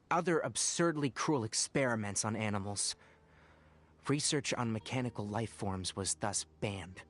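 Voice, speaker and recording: A man acts out a young male forest ranger from a video game, serious and principled. His voice sits at a middle pitch, and he speaks in measured, articulate sentences with an earnest, scholarly air. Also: lightly acted. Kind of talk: scripted game dialogue.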